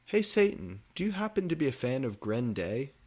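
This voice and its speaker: Man putting on a high-pitched gushing goth teen girl. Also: very animated, moderate pace, a little mellow, slightly rough. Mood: eager.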